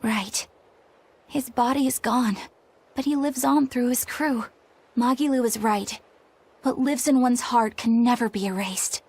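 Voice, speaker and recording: A woman's voice: on the high side, measured pace, darkish tone, husky. A woman playing an earnest, principled young woman in a fantasy video game, speaking sincerely and properly. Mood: solemn.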